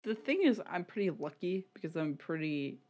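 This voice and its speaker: A podcast co-host chatting casually about media in a rambling, halting, sweary way, often repeating words. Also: medium pitch, animated, darkish tone. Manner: informal, sweary.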